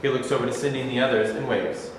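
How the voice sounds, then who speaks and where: A man reading stage directions aloud in a steady, matter-of-fact way during a staged play reading, recorded in an echoey rehearsal studio. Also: medium pitch, rapid-fire, a crowd in the background. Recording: echoey studio room, distant from camera.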